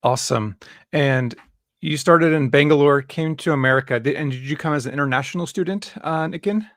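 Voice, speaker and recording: A man's voice: a little high, fairly quick, a bit bright, no echo, studio quality. Upbeat man hosting a webinar. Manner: upbeat, fillers. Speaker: host. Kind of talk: webinar interview. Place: Dallas, Texas.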